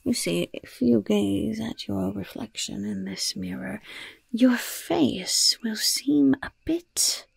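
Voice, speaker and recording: A woman's voice: mid-range voice, sing-song, takes it slowly, no room sound, full character voice. A woman voicing a sly goblin shopkeeper who sells poisons and curses, in a gleefully understated, coaxing whispered ASMR roleplay. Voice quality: breathy, gravelly whisper. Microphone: close microphone.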